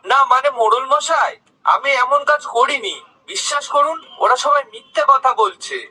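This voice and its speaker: A man's voice: extremely high pitch, lively intonation, normal speed, sharp tone, heavily acted, poor audio. A man playing a sly, greedy, wheedling village shopkeeper in a cartoon. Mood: nervous, pleading. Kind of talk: cartoon character acting.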